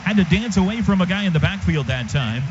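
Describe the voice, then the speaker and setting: A high-voiced man does live radio play-by-play of a football game. He calls it in short, urgent bursts. The feed is dull, with clear stadium noise behind him. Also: expressive, quick pace. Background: stadium ambience.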